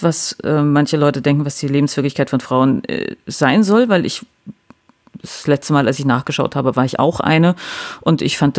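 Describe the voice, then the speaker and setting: Woman novelist as interview guest: wry and reflective, often pausing and restarting mid-sentence. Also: deep voice, a little mellow. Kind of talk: casual interview talk.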